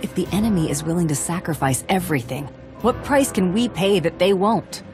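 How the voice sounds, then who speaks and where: A woman's voice: on the low side, lively intonation, brisk, close to an everyday voice. An actress playing a tough, skeptical female bounty hunter in a video game, direct and guarded. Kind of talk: video game dialogue acting. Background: background music.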